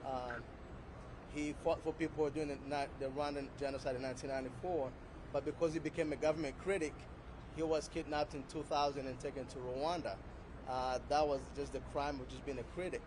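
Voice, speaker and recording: A man, son of a detainee, giving earnest, halting remarks at an outdoor press event. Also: a little high, fairly even intonation. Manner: earnest, halting, many fillers. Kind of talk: unscripted public remarks. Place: outdoors on a city street. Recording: outdoor street noise.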